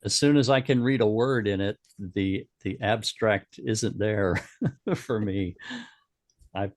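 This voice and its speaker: Low-voiced man critiquing photos. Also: slow, a little mellow, no echo, studio quality. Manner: stammering, directs cropping. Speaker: camera club member giving critique. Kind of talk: casual critique chat.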